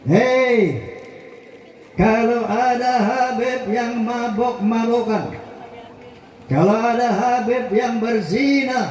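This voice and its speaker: An old man putting on a brash, high-pitched preaching cleric. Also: very echoey, far from the mic, slight background noise, heavily acted, rough recording. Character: brash male cleric lecturing a crowd.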